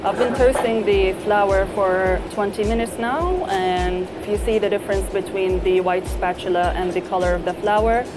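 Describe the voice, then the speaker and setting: A woman chef walks through a recipe step by step in a measured, explanatory way, speaking at an ordinary pitch. Her tone is gently encouraging as she talks in a kitchen. Music plays clearly behind her. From eastern Saudi Arabia. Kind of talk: cooking demonstration with calm step-by-step instructions. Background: background music.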